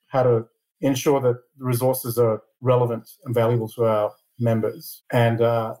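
A middle-aged man born in Iran who speaks answers interview questions in a rapid, level voice. His tone is mellow and dark. He is measured and often repeats a word as he starts a phrase. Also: mid-range voice, low-quality recording. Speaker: interview guest, lawyer and board chair.

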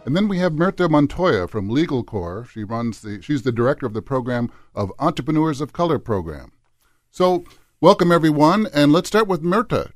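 A man hosting a radio talk show, introducing guests and making wry, easygoing jokes. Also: medium pitch. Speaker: host.

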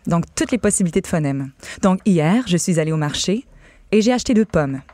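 Une femme québécoise, voix de synthèse, invitée en studio radio, parle d'un ton détendu avec beaucoup d'hésitations et des rires faciles. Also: female voice, lowish voice, brisk, no echo. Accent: Québécois French. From French Canada. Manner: relaxed, laughs easily, many fillers. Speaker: synthetic voice talent, guest.

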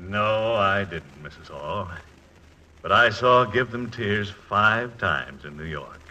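Blustery old man, piercingly bright voice. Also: fairly low pitch, animated, slow pace, dull audio, heavily acted. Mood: jovially gallant. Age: older. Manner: gruff, impatient, hearty. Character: blustery, crusty, jovial old college trustee. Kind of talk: 1940s radio comedy acting. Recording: faintly noisy old 1940s radio recording.

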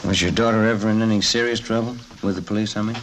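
Actor as a brisk, courteous police sergeant. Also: male voice, on the low side, steady tone, close to an everyday voice. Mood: polite, businesslike. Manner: polite, brief, matter-of-fact. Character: male police sergeant, courteous, quiet-mannered questioner. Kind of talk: 1950s police radio drama acting. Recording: noisy vintage radio recording with clicks.

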